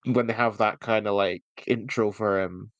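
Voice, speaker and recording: A man with a fairly low voice co-hosts a casual review podcast. He is jokey and often laughs through his words. The sound is dry, with very little room on it. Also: steady tone, moderate pace, dry recording, muffled recording. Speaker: podcast co-host. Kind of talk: casual chat reviewing an audio drama.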